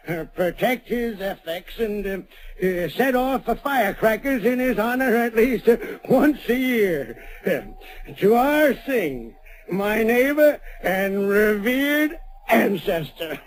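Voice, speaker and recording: A man's voice: high-pitched, animated, slow pace, slight background noise. A man playing a dry-humored frontier neighbor with a wry drawl, 1940s radio acting. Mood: mock-solemn.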